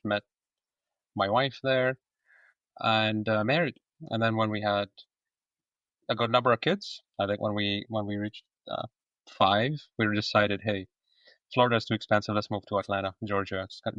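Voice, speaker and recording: Male guest in his forties, from Egypt, low voice, slightly dull remote audio, relaxed and chatty. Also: no room sound. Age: 40s. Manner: relaxed, open, with frequent fillers. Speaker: podcast guest. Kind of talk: casual conversation.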